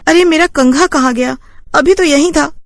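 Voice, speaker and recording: Young woman, high pitch, anxious, insistent, frightened new bride, cartoon horror, dry studio sound, acted by a woman narrator. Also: moderate pace, no room sound. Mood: uneasy.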